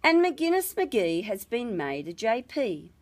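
A woman reads rhyming verse aloud in a fairly high voice. Her delivery is very unhurried and highly varied in pitch. She shapes it as dramatic, expressive storytelling. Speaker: storybook reader. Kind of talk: expressive scripted ballad reading.

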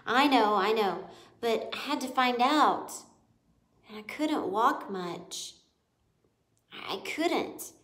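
A woman voicing a calm, serious, thoughtful young boy mouse who has been ill, in a storybook. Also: a little high, slow, long pauses. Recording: echoey room.

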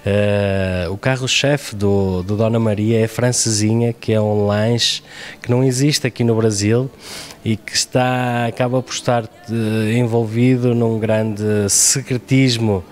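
A fairly low-pitched man with a European Portuguese accent speaks into a handheld mic on a studio kitchen set. He talks in a halting, restarting way, full of fillers, as a restaurateur chatting on a TV interview. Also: fairly even intonation, a little mellow. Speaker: restaurant owner. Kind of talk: casual interview.

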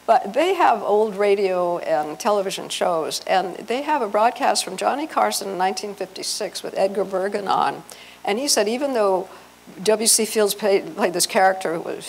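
A middle-aged American woman giving an informal, digressive talk as a presenter, halting as she goes. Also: lowish voice, vocal fry. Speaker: presenter at a convention. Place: hotel meeting room with seated audience. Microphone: handheld microphone. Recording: noisy hotel meeting room.

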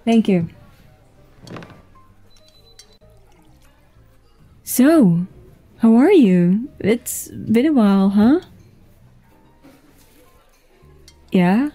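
A mellow actress playing a flirty businesswoman chats across a dinner table in an audio roleplay. She is soft, casual and easygoing, taking her time over each phrase, with music playing behind her. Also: fairly high pitch, animated. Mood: friendly. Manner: unhurried, giggly, casual. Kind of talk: intimate ASMR roleplay acting, one-sided conversation.

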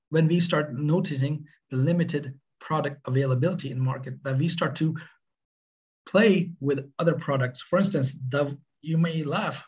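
A middle-aged man with a Swedish accent, presenting on a webinar in earnest, halting speech. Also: on the high side, husky. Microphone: webcam on video call.

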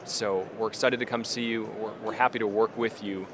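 Low-voiced man pitching at a trade show. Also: steady tone, a little fast. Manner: earnest, fluent pitch. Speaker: company executive. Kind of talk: promotional interview. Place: busy trade show hall. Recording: crowd chatter behind.

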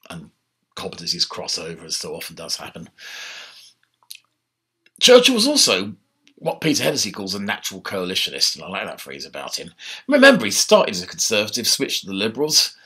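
An older man talks through a history lesson in a lively, chatty way, his voice husky yet bright and forward. He sits in a book-lined study at home and speaks into his laptop's built-in mic. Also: on the low side. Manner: animated, conversational, gesturing with his hands. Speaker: history lecturer. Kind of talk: informal online history talk. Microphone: laptop webcam mic.

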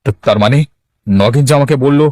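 Village headman, voiced by a man, cartoon drama, gullible, earnest, stubborn, medium pitch, dry recording. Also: normal speed, a little mellow, no echo, lightly acted. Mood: shaken. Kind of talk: Bengali cartoon voice acting.

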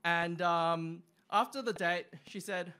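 A young man performing open mic stand-up, with a halting delivery full of ums and uhs, on a small club stage. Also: sits high, sharp tone, smooth. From Australia. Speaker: stand-up comic. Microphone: stand mic, far camera.

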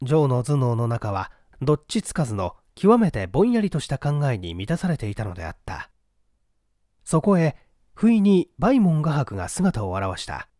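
Lively man reading a mystery novel aloud. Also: medium pitch, moderate pace, no room sound, studio quality. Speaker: audiobook narrator.

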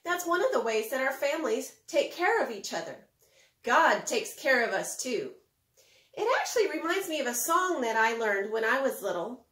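A middle-aged woman presenting a preschool lesson to young children in an animated way, with simple phrasing and frequent questions to the listener. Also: high-pitched, moderate pace. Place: indoors, home kitchen. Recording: roomy, echoey home kitchen.

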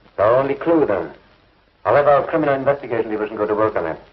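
An actor playing a stern, urgent commissioner in a 1950s television sci-fi serial, speaking emphatically. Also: male voice, medium pitch, expressive, very fast, natural voice. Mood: brisk, reassuring. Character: stern, urgent space commissioner leading the response. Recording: dull vintage TV soundtrack.

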